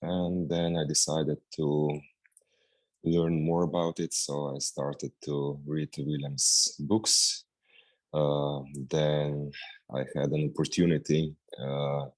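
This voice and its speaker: A man's voice: extremely low pitch, darkish tone. A man with a Slovene accent, speaking English as a second language, answering interview questions with many hesitations. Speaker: guest practitioner.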